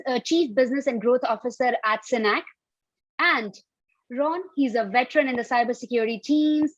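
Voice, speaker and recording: A woman hosting an interview on a video call, introducing guests in a measured way with frequent fillers. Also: high voice, no room sound, lo-fi sound. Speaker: host.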